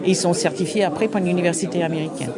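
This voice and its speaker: A middle-aged woman, an English speaker, answering interview questions at an open day, halting and searching for words with frequent restarts. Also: lowish voice, fairly even intonation. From United States. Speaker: association representative. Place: busy open-day event. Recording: crowd noise behind. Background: crowd chatter.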